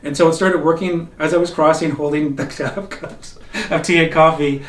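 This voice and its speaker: A man's voice: fairly high pitch, fairly even intonation, normal speed. A middle-aged man co-hosting a casual chat, telling stories and laughing often. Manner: chatty storyteller, laughs often. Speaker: co-host. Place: wood-panelled cabin room, seated at table. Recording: roomy, distant, faint hiss.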